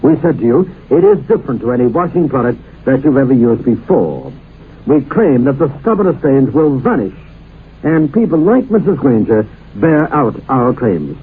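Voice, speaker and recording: A man's voice: mid-range voice, very animated, normal speed. A man delivering an old radio commercial as announcer, in an emphatic, salesmanlike way. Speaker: advertising announcer. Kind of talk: vintage radio commercial pitch. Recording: dull, noisy vintage radio recording.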